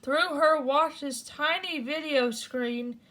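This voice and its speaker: A woman hosting a bedtime story show, reading a picture book aloud with animated asides. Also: high voice, rough recording. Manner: slow, animated, comments between passages. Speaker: storyteller host.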